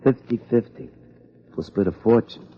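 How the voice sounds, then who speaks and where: An American actor playing a shady, scheming ex-boxer blackmailer in a 1950s radio crime drama, with a gruff, husky voice and slangy tough-guy talk. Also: on the low side, normal speed, lightly acted. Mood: scheming, wheedling. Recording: muffled vintage radio recording.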